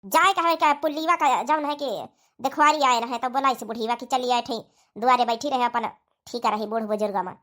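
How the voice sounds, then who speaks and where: A woman's voice: a little high, steady tone, fairly quick, fairly bright tone, close to an everyday voice. A woman voicing a bossy, grumbling older mother-in-law in a village cartoon, with an Awadhi accent. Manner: bossy, complaining. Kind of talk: cartoon comedy dialogue in rural dialect.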